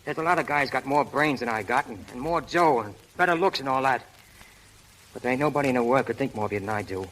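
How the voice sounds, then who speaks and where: An actor playing a brash, hot-tempered but lovestruck prizefight manager, talking in rough street slang in 1930s radio style. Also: male voice, medium pitch, lively intonation, fast talker, a bit bright, slight background noise, close to an everyday voice, rough recording. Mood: bashful, dejected. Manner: tough, slangy, ungrammatical street talk. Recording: old 1930s radio broadcast recording.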